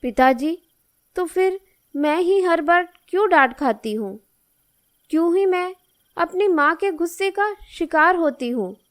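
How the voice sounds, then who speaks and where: A dutiful young daughter acted by a woman in a cartoon moral story. Her voice is high and she speaks slowly, with an earnest, plaintive and affectionate manner. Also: lively intonation. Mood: aggrieved.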